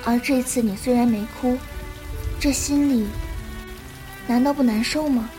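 A woman playing a gentle, compassionate young martial-arts disciple who explains patiently in a Chinese period audio drama. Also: a little high, steady tone, a little slow, faint music behind, natural voice. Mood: gentle, consoling.

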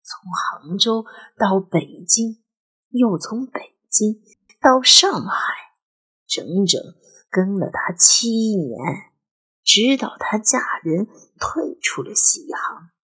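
A woman voicing an elderly grandmother in an audio drama, wistful and superstitious, reminiscing with drawn-out sighs in a husky voice. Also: mid-range voice, lively intonation, bright, forceful tone, heavily acted. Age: older. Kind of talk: Chinese audio drama dialogue.

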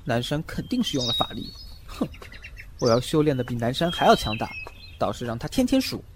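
A performer playing a cocky, playful young male fox spirit in a Chinese costume audio drama, brash and expressive. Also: mid-range voice, brisk, noisy background, natural voice. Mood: pouting. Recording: loud music bed behind voice.

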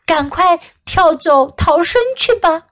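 A woman's voice: very high-pitched, slow, fairly bright tone, voice pushed up, heavily acted. A woman voicing a frightened little rabbit in a children's story, exclaiming in alarm. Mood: panicked. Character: frightened small rabbit warning of a monster. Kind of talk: bilingual children's storybook reading.

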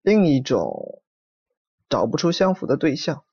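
An actor playing a dutiful junior police officer in an audio drama, reporting in a formal, businesslike way. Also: male voice, mid-range voice, big pitch swings, measured pace, darkish tone, lo-fi sound, slight background noise. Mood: formal, earnest.

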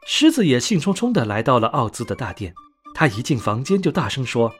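A man narrating a scripted bedtime story for children in an expressive, clearly phrased way. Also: medium pitch, fairly quick. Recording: soft music under narration.

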